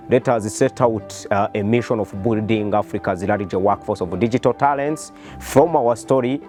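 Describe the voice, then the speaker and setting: A man's voice: fairly low pitch, darkish tone. A man with a Rwandan accent speaking as a company founder, explaining his business in an earnest interview style. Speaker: startup founder and CEO.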